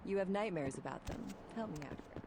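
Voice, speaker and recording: A woman's voice: medium pitch, lively intonation, a little fast, background noise, natural voice. A woman playing a brash, needling late-night radio host in a video game. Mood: sardonic, needling. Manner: sarcastic, needling.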